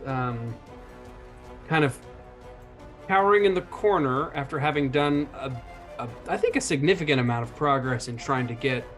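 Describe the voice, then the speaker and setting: A man chatting casually as a player in a roleplaying game, jokingly describing what his character does. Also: mid-range voice.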